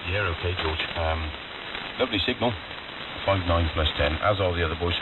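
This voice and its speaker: A man's voice: deep voice, brisk, recorded from a distance. A man chatting politely and hesitantly as an amateur operator over shortwave radio. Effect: shortwave radio transmission. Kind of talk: casual radio chat. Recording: narrow-band radio buried in loud static.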